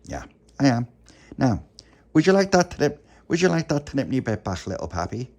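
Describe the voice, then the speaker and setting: A friendly but commanding street magician, acted out by a male storyteller. He coaxes and instructs with showman's patter, his creaky voice rising and dipping freely. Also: mid-range voice, big pitch swings, normal speed, darkish tone, voice pushed up. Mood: cajoling.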